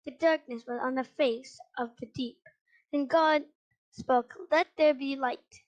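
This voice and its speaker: A female reader with a high voice reading a children's Bible story aloud, halting now and then over words. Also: steady tone, dull audio.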